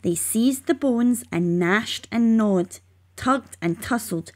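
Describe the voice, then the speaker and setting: A woman reading rhyming children's narration aloud, expressively and with measured emphasis. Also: on the high side, darkish tone. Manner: measured, emphatic on sound words. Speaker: storybook reader.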